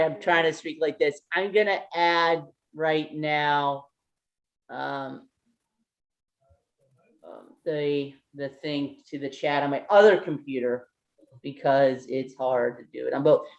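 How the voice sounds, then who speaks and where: A woman hosting an online meeting over a video call, chatty and halting, with lots of ums and restarts. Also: low voice, fairly even intonation, reverberant, lo-fi sound. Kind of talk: casual video-call facilitation.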